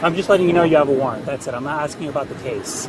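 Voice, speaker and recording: A man's voice: on the high side, very fast, background noise. A male police officer questioning a detained woman in a matter-of-fact way.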